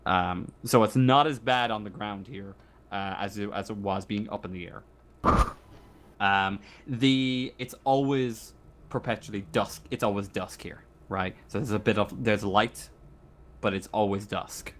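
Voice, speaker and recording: A man running a tabletop game, improvising scene narration in a halting way and repeating phrases as he searches for words. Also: fairly low pitch. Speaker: game master.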